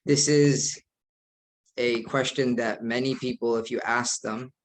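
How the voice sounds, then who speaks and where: Young male imam, earnest, steady monotone delivery. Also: mid-range voice, measured pace, poor audio. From United States. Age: young adult. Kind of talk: online presentation.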